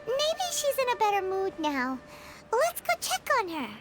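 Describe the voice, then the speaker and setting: A woman voicing a tiny, childlike, earnest female fairy companion in a video game, with a squeaky, openly expressive delivery. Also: measured pace, full character voice. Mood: puzzled, hopeful. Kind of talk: scripted video game dialogue. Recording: music behind the voice.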